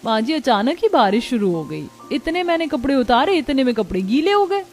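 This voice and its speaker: Woman as a fretful young daughter-in-law, soft-toned. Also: sits high, sing-song, brisk, faint music behind. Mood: complaining. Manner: complaining, earnest. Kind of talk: cartoon story dialogue.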